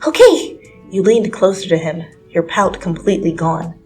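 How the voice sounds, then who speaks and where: A woman's voice: on the low side, fairly even intonation, moderate pace, a little mellow, low-quality recording. A woman reading a story aloud as scripted, dramatic second-person narration. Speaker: fan fiction reader. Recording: music under the voice.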